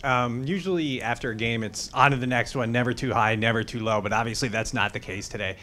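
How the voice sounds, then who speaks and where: A man's voice: mid-range voice, a little fast, bright, forceful tone. A man working as a reporter, asking a question from the floor of a press conference.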